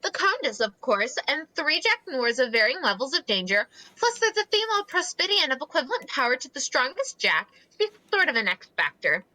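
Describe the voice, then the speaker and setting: Casting: woman playing officious young commander for a comic, high-pitched, animated, brisk and bossy. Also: exaggerated voice, low-quality recording. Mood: commanding. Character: brisk, bossy young military-minded leader. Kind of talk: dramatic reading of a comic.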